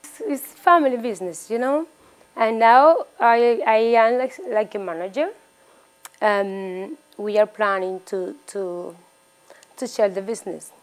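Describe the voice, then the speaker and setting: Woman, halting interview answers. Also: medium pitch. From Dominican Republic. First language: Spanish. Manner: halting, with restarts and repeated words.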